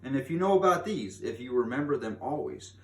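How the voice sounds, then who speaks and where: A man's voice: mid-range voice, expressive, brisk, fairly bright tone. A man reading a children's book aloud in a theatrical, emphatic manner, drawing out key words for drama. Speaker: read-aloud storyteller. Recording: distant mic, echoey room, background noise.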